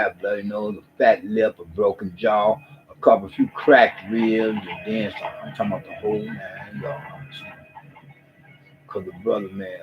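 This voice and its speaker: Man, stuffy cold, street slang, rambling, muttering, dull lo-fi sound, faint music behind. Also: lowish voice. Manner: swings between loud outbursts and near mutters. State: congested with a cold. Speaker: vlogger. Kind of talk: rambling monologue to camera.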